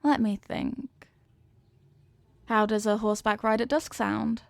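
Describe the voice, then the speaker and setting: A woman's voice: fairly high pitch, steady tone, unhurried, vocal fry, dry recording. A woman playing a sweet, devoted headless horsewoman girlfriend in an intimate ASMR roleplay, affectionate, playful and gushing. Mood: dreamy, loving.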